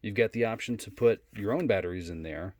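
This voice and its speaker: A man giving a casual, unscripted product demonstration in a low-key, halting way. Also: noisy background. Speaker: product reviewer.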